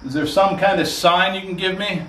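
A middle-aged man with a Southern US accent, filming himself alone at night in an abandoned wooden shed and talking to his viewers in a hushed, jittery way. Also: high-pitched, fairly even intonation, normal speed. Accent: Floridian American. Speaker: paranormal explorer vlogger. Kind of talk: solo ghost-hunting vlog.

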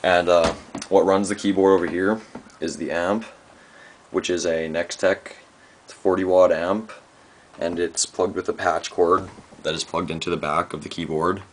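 Deep-voiced young man, laid-back and halting. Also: steady tone. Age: young adult. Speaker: amateur musician demonstrating gear. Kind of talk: casual home tutorial. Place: small room at home. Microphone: handheld camera's built-in microphone. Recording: noisy amateur camera microphone.

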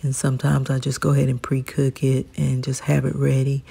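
A woman's voice: very deep voice, brisk, creaky, husky. A woman vlogging her grocery run in a supermarket, talking casually with frequent ums as she films on her phone. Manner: relaxed, chatty, frequent ums. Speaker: vlogger, mother doing grocery shopping. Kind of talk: casual first-person narration while shopping. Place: supermarket aisles, indoors. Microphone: phone camera held while pushing a cart. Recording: noisy store ambience.

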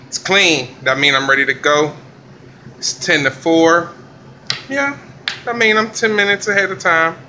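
A man, a chef filming a casual vlog in a commercial kitchen, talking in relaxed slang. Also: a little high, unhurried, long pauses, slight background noise. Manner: laid-back slangy hype talk. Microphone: handheld phone camera.

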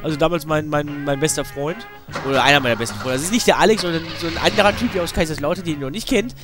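A man's voice: on the high side, rapid-fire. A man with a slight cold giving rambling, informal, slangy let's play commentary. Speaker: let's player. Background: video game music.

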